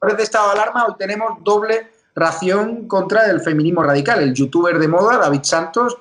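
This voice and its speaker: A man from Spain hosting a political talk show, emphatic and quick to run through lists. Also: high-pitched, fairly bright tone. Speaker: show host.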